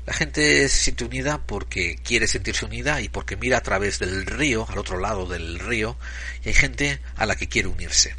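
A man giving an opinion monologue, speaking emphatically and deliberately, at times searching for his words. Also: fairly low pitch, bright, forceful tone, slightly rough. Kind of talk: opinion monologue to camera or microphone.

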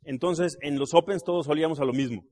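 A man's voice: a little high, fast. A middle-aged man gives a live seminar talk to an audience. He is a trained actor and voice artist who tells stories with jokes and keeps asking the crowd for agreement. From Mexico City. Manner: animated storyteller, jokes with the crowd, asks tag questions. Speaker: business seminar speaker.

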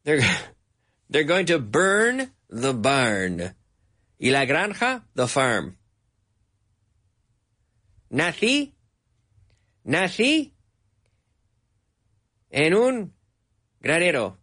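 A Canadian man teaching English in a patient, drilling way, saying each word several times. His voice sits a little higher than most men's. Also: huge pitch swings, sharp tone. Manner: slow, repeats words for drilling. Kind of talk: bilingual English lesson.

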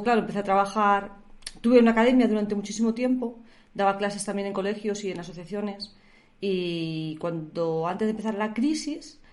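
Middle-aged Spanish-accented woman, podcast guest, chatty and colloquial, mid-pitched. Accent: Spain. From Spain. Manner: chatty, laughs often, colloquial. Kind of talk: casual conversational storytelling.

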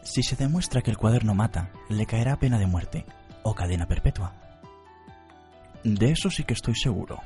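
A man playing an odd, suspicious young detective in a radio drama, deadpan and deliberate. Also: lowish voice, faint music behind, close to an everyday voice. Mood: suspicious, analytical. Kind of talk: radio drama adaptation of anime.

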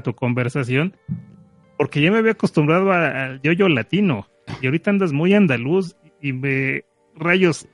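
A man's voice: on the high side, dry recording. A man co-hosting a podcast, teasing and joking in a casual chat.

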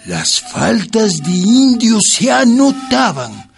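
Slow Andean peasant narrator, high-voiced. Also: male voice, wildly swooping pitch, gravelly, full character voice. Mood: grave. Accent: Ecuadorian Andean. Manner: solemn storytelling. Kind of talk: scripted radio drama narration. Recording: music bed under the voice.

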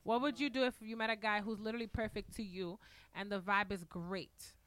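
A young woman hosting a casual podcast chat, reading listener questions aloud in an animated way. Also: medium pitch, fairly quick. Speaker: podcast host.

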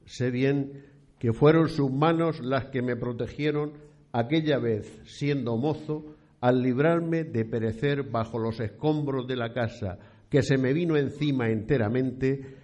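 A man's voice: medium pitch, darkish tone, lightly acted. A man playing a frail, devout old priest near death in a radio drama, speaking solemnly in archaic, declamatory phrases. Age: older.

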